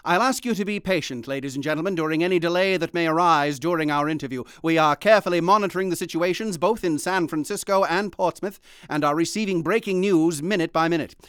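A man acting a radio news interviewer in an audio drama, with a smooth, high male voice in a dry studio. He is formal, measured and courteous while he probes. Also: a bit bright, dry recording, close to an everyday voice. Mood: composed, formal.

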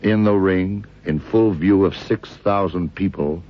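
Deep, husky hard-boiled detective. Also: male voice, measured pace. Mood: confident, accusing. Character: tough, shrewd male detective exposing a killer. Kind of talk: radio crime drama acting. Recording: dull, lo-fi old radio recording.

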